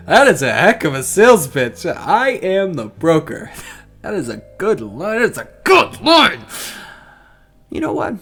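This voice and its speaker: An actor playing a sadistic, gleeful financier in an audio drama, talking in a theatrical, gloating way. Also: male voice, on the high side, a bit bright, heavily acted. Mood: amused, smug. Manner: theatrical, gloating, often breaking into giggles and cackles. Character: gleeful sadistic male financier who tortures as a hobby.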